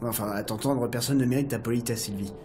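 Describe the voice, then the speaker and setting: A woman's voice: rumbling low, fairly even intonation, fast talker, noisy background. A woman playing a skeptical, sarcastic male rebel in an audio drama, casual and snide.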